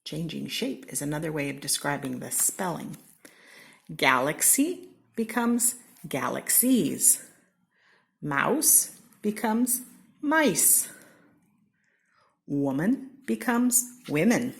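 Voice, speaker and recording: Slow, animated American woman reading aloud. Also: creaky. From Seattle, Washington. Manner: slow, expressive read-aloud with lively pitch swings. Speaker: storybook reader. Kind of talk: reading a children's picture book aloud.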